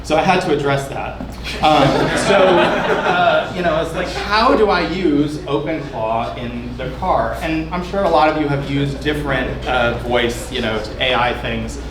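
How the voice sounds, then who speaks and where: A man's voice: fairly high pitch, unhurried. Guy demoing his app, rambly and casual, roomy echo, noisy background. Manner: loose and chatty, lots of fillers and restarts. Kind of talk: casual demo talk. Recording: echoey room with background noise.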